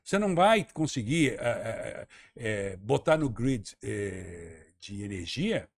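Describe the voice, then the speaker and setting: A man from southern Brazil makes his case as a radio guest, pressing his points with emphasis. His voice is slightly husky, and his pitch rises and falls freely. He sometimes repeats words as he gathers his thoughts. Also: very animated, slow. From Santa Catarina, Brazil. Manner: emphatic, argumentative, repeats words while thinking. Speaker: interview guest, former tourism minister. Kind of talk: radio talk-show interview.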